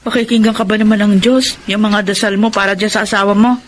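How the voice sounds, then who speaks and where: A woman's voice: medium pitch, steady tone, fast talker, a little hiss or hum, natural voice. Woman acting a blunt, scolding older confidante. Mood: scolding, exasperated. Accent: Filipino. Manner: frank, scolding, sarcastic. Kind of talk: Tagalog radio drama acting.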